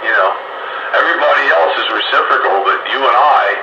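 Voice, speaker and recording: A man with an average-pitched voice speaks over a two-way radio. He sounds far away and echoey, under very heavy noise. His talk is casual and speculative, built up in hesitant pieces. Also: expressive, fairly quick, vocal fry, lo-fi sound. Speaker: amateur radio operator. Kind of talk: casual on-air radio chat. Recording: distant echoey radio signal, very loud noise.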